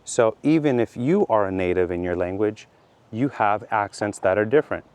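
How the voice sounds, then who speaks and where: Man, middle-aged, Californian accent, lowish voice, patient slow lesson delivery. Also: expressive, a little mellow, clear, resonant tone. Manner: slow, deliberate, repeats key points. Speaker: language teacher. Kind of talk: slow explanatory monologue for learners.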